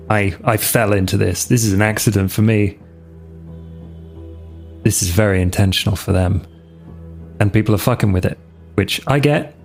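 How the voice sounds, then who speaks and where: Slow-talking man playing his character. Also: fairly low pitch, vocal fry, a little mellow. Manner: hesitant, casual, with fillers. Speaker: player. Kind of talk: in-character roleplay dialogue.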